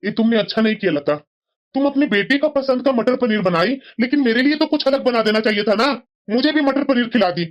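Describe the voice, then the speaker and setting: A woman's voice: on the high side, brisk, dull audio, faint music behind, lightly acted. A woman voicing a grumbling but sensible elderly husband who scolds and reasons in a family cartoon. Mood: grumbling, scolding. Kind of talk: Hindi family cartoon voice acting.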